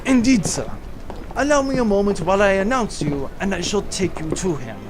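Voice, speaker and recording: A man's voice: high voice, lively intonation, moderate pace, background noise. A man voicing a stiff, overly polite male steward on a luxury cruise ship in a comedy audio drama.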